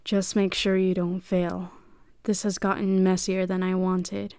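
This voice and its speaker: A woman playing a cold, gravelly commanding leader. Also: lowish voice, monotone, a little slow, dark tone, no room sound. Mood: stern.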